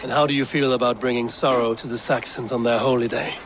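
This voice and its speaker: A man's voice: mid-range voice, level tone, noisy background, close to an everyday voice. A man voicing a stern Viking raid leader. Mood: resolute. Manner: grave, measured, commanding. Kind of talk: video game cutscene acting.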